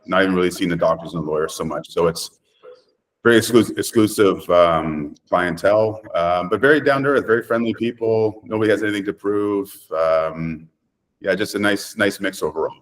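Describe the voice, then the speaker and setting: A man's voice: low-pitched, brisk, rough recording. A middle-aged man from Canada giving conversational interview answers as a property developer, emphatic and speaking in short declarative sentences.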